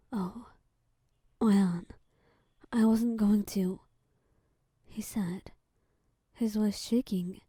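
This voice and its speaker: Slow, whispery woman narrator. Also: medium pitch, no room sound. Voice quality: soft whispery voice. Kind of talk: whispered ASMR story reading.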